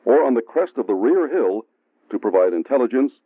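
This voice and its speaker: A man giving steady, measured scripted narration for a military training film. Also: medium pitch, no echo. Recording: tinny phone-like sound from an old training film, faint music.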